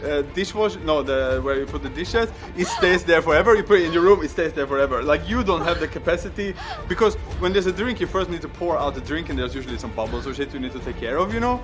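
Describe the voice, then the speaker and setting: A young man with a Swedish accent, talking casually with his partner in an animated, exasperated way. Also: on the high side, quick pace, hardly any pauses, music in the background. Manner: animated, exasperated ranting with swearing. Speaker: vlog host. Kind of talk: casual couple chat.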